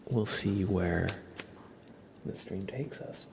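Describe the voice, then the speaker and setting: A man with a congested, stuffy nose chats casually with viewers as an ASMR livestream host, hushed and often whispery. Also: sits low, slow, creaky, background noise.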